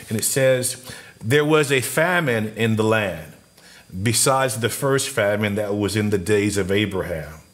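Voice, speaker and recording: A middle-aged man, a pastor, preaching a sermon earnestly at his desk in a small church office, shifting his pace for emphasis. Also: on the low side. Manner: earnest, speeds up and slows down for emphasis, gestures while talking.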